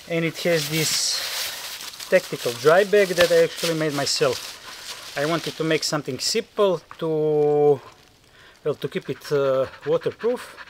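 Guy in his 30s doing a chill outdoor vlog, slow talker, slightly high voice, noisy camera sound. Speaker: vlog host. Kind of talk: casual outdoor vlog talk. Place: outdoors in a forest. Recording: outdoor camera audio with clear background noise.

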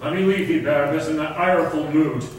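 Man acting a solemn gentleman, echoing stage. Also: a little high, quick pace, close to an everyday voice. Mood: pitying. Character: sympathetic gentleman friend taking solemn leave. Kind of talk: theatrical staged reading of Elizabethan verse. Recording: echoey hall, far mic, noisy.

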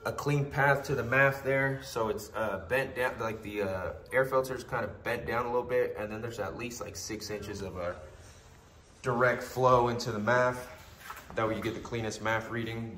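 A man's voice: medium pitch, bright, forceful tone, echoey room, slight background noise. A man losing his voice to a cold, with a stuffy nose, talking casually about a car in his garage with lots of ums. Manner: rambling, with many ums and uhs. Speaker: car builder. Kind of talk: casual walkaround narration.

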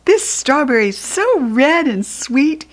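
A woman's voice: high-pitched, very animated, slow pace, voice pushed up, heavily acted. A woman with an American accent voicing sweet, eager young animals in a picture book, earnest and singsong. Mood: eager, proud.

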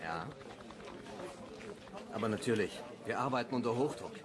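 An actor playing a curt police inspector in a German radio play, clipped, official and reluctant. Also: male voice, lowish voice, expressive, moderate pace, natural voice. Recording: busy outdoor crowd behind.

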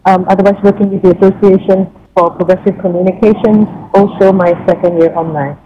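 A woman's voice: medium pitch, steady tone, fairly quick, dark tone, a little hiss or hum. A woman from Malaysia introducing herself to a meeting over a remote call. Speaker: civil society delegate joining remotely. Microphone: remote online call. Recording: dull remote connection.

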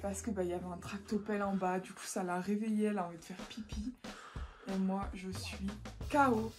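A woman's voice: mid-range voice, level tone, fairly quick. A woman in her twenties talking casually to the camera in a hotel at a ski resort, chatting to viewers as friends. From France. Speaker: vlogger. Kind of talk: casual vlog narration to camera. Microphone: handheld camera at arm's length. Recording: music playing underneath.

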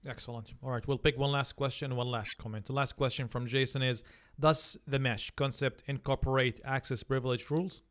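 The courteous thirty-something man who fields the chat questions on an online tech show, his smooth, clean voice close on the mic. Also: medium pitch, steady tone, brisk, a bit bright. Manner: appreciative, polite. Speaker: livestream host. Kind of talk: hosting, reading out audience comments. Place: home office. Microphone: close podcast microphone.

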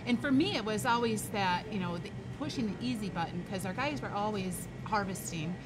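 A woman's voice: medium pitch, lively intonation, a little fast, background noise. A middle-aged woman hosting a casual chat at a table in a farm market shop, laughing often. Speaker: host.

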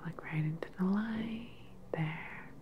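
A woman in her thirties playing a calm, clinical evil scientist in a whispered sci-fi ASMR roleplay, breathy and measured, close to the microphone. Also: medium pitch, dull audio, background noise, lightly acted. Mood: soothing, clinical. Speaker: ASMR performer. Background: sci-fi lab ambience.

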